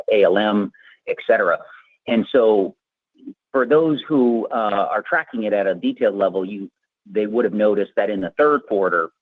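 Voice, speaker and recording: A male executive giving unscripted, measured explanations on an earnings call, with many asides. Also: low-pitched, husky, no room sound. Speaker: company finance executive answering analysts. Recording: narrow tinny conference phone line.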